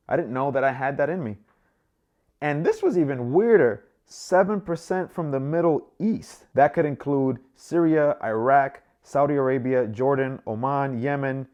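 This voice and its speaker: A young man from the Dominican Republic talking to camera in a relaxed, chatty vlog, with plenty of fillers. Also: mid-range voice, lo-fi sound. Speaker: travel vlogger. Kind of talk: casual vlog monologue.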